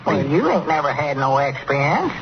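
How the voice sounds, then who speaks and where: An actor playing a gullible, hesitant, excitable country bumpkin with a rural Southern US accent in old-time radio comedy. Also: male voice, fairly high pitch, normal speed. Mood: doubtful. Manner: hesitant, naive, swooping, folksy. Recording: muffled, noisy vintage radio recording.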